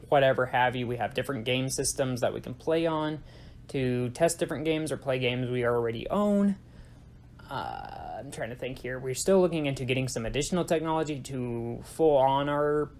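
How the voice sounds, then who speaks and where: A young man hosting a gaming channel, talking casually about its plans with lots of fillers and self-corrections. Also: medium pitch. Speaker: YouTube gaming channel host. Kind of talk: casual channel intro talk.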